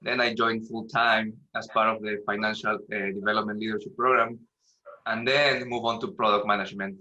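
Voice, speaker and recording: A man in his thirties with a Peruvian Spanish accent, introducing himself as a panelist in a halting way that repeats words. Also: lowish voice, little pitch movement, bright, forceful tone, highs cut off. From Peru. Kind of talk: professional self-introduction.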